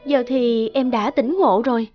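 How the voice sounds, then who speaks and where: A woman voicing a hurt, resolute young ex-wife in an animated moral tale, measured and pointed, in slightly muffled sound. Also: fairly high pitch, soft background music, natural voice. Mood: bittersweet, reproachful.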